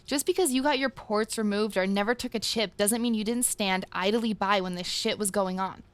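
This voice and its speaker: A woman playing a blunt, sharp-tongued resistance fighter in an audio drama, sardonic and ready to confront. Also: medium pitch, moderate pace, dry recording, lightly acted. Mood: angry, accusing.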